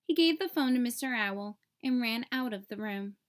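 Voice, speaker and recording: Female storybook narrator, high register, expressive read-aloud delivery for kids. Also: moderate pace.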